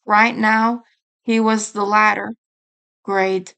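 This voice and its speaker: A woman's voice: medium pitch, little pitch movement, slow pace, creaky, sharp tone, clear, resonant tone. A woman reading a piece of fan fiction aloud as scripted story narration.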